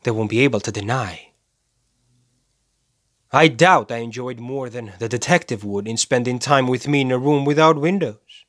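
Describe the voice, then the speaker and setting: An actor playing a cold, self-righteous serial killer in a dramatic film monologue, speaking deliberately and with menace, pausing for effect. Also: male voice, medium pitch, a bit bright, dry recording, studio quality, lightly acted. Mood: cold, controlled. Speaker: actor performing a movie monologue.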